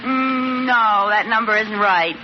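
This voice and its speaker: An actress playing an eager, headstrong, scheming housewife in an old radio sitcom. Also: female voice, a little high, slow. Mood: insistent. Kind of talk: 1940s-50s radio sitcom acting. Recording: dull, noisy radio recording with audience.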